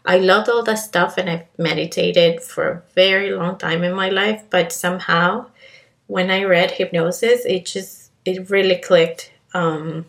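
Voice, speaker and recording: A woman's voice: fairly low pitch, steady tone, a little slow, gravelly. A woman in her thirties from Colombia with a Colombian accent, hosting a podcast and telling her own story in an earnest, confiding way. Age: 30s. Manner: earnest, confiding, with fillers and restarts. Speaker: podcast host. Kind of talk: personal monologue. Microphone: podcast microphone.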